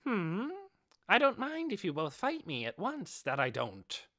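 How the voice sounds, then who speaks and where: A man's voice: sits high, huge pitch swings, measured pace, fairly bright tone, dry recording, clean studio sound, voice pushed up, heavily acted. A man voicing a boisterous, proud female giant hamster beast in an audiobook, exclaiming in quaint archaic phrasing. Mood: cocky challenge.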